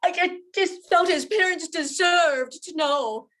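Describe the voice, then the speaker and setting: Woman playing a high-voiced, anxious young widowed mother. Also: slow, a bit bright, full character voice. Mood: anxious, earnest. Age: older. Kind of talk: old-time radio drama acting.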